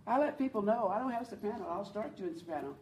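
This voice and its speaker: A woman with a low voice teaches a group about leading worship, earnest and encouraging as she draws her listeners in. She is heard from across the room, the sound roomy and carrying a faint hum of ambience. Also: lively intonation, fast talker, a little mellow, recorded from a distance. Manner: earnest, encouraging, speaks to the audience and invites replies. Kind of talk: church teaching talk.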